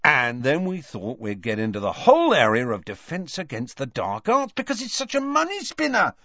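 Storybook role: brash young male joke-shop salesman, played by a man, cheeky and enthusiastic, pitched high and hugely animated. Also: normal speed, dry recording, muffled recording, exaggerated voice. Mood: eager, chatty. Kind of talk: audiobook character voice.

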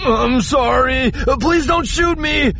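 Whining, terrified small-time crook. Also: male voice, sits high, animated, fairly quick, sharp tone, slight background noise, voice pushed up, heavily acted. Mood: terrified, begging. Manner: whining, stammering, begging. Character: cowardly small-time male crook, pleading in terror. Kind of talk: audiobook character acting.

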